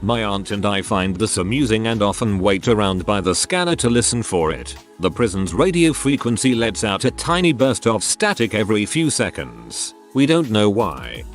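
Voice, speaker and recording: A man reading online stories aloud as scripted narration. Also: lowish voice.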